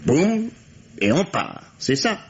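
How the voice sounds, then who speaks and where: An actor playing a sardonic, authoritative middle-aged police inspector questioning a suspect in a 1950s-style French radio drama. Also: male voice, fairly high pitch, sing-song, takes it slowly, exaggerated voice. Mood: pressing. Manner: authoritative, sarcastic, theatrical. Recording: dull, lo-fi vintage radio recording.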